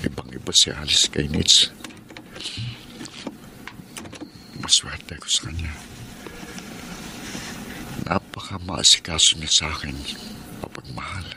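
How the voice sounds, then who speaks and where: An actor with a Filipino accent playing a guilt-ridden husband in a radio drama, confessing in an emotive way. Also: male voice, extremely low pitch, measured pace, pauses often, background noise. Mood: brooding, hushed. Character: troubled, guilt-ridden husband torn between two women.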